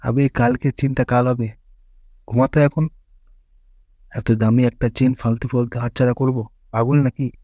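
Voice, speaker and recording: A man's voice: medium pitch, level tone, normal speed, pauses often, dark tone, dry recording, highs cut off, natural voice. A man voicing a brash, slangy young man who scoffs at danger in a horror story. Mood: brash, scoffing. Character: brash, dismissive young man who stole a gold chain.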